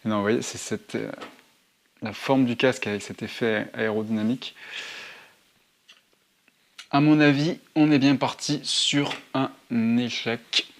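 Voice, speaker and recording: A man's voice: medium pitch, steady tone, unhurried, pauses often. A man narrating a casual hands-on tutorial to his viewers, thinking aloud as he handles things. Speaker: motorcycle vlogger presenting gear. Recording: clear background noise, handling clicks.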